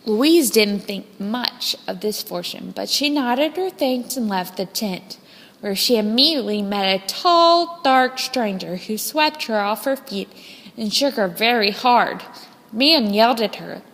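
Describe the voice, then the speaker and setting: A woman reading a children's story as scripted narration, with expressive, deliberate phrasing. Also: on the high side. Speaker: storybook reader. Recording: long hall-like reverb.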